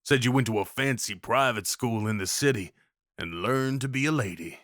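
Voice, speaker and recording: A man's voice: medium pitch, moderate pace, a bit bright, dry recording, clean studio sound, natural voice. A man playing a rugged, kindly cowboy of the Old West in a roleplay audio drama, with a folksy, reassuring drawl. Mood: friendly, amused.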